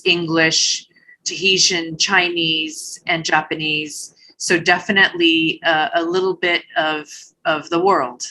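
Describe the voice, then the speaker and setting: A middle-aged woman from Hawaii, a retired army general, answering interview questions in a thoughtful, measured way with small restarts. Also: deep voice, sharp tone, rough recording.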